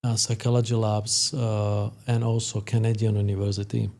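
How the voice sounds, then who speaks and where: Male expert guest, 50s, Slovak accent, gravelly and mellow, halting delivery. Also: lowish voice, little pitch movement. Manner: hesitant, halting phrasing. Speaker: sports psychologist, podcast guest. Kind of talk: interview conversation, explanatory.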